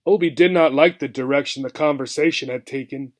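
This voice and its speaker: A man reading a children's book aloud with expressive narration. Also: mid-range voice, a little fast.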